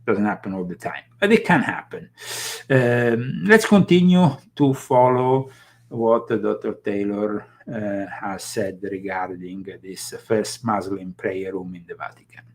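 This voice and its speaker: A man's voice: lowish voice, measured pace. A man hosting a live commentary show, talking in a rambling way with many fillers and restarts and bursts of emphasis. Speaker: host.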